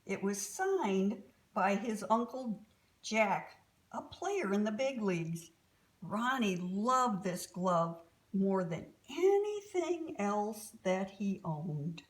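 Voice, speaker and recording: A woman reading a children's story aloud as an expressive storyteller. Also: mid-range voice, slow.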